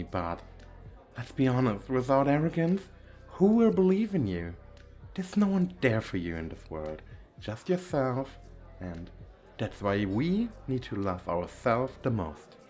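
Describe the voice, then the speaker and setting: Man playing a slow, vain male model. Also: on the low side, a little mellow. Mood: confiding, self-assured. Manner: boastful, theatrical, coquettish, with drawn-out pauses. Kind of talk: flirtatious ASMR roleplay monologue.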